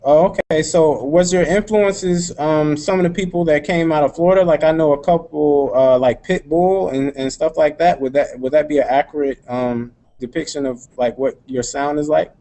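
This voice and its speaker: A man's voice: a little high, steady tone, moderate pace, few pauses, mellow, rough recording. A male show host interviewing guests, often restarting his questions.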